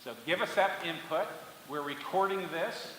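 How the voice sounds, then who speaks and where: A man running a public meeting, speaking in a measured, preacherly way to the audience. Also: a little high, fairly bright tone. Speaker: meeting facilitator. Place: large public meeting hall. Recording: reverberant hall, faint hiss.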